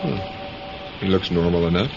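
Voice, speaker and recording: A tired, placating husband in an old radio play. His lowish voice rises and falls expressively, hesitant and resigned, under loud music in dull sound. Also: expressive, unhurried, creaky, full character voice. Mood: weary. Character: weary, mild husband placating his wife. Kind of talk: vintage-style radio drama acting.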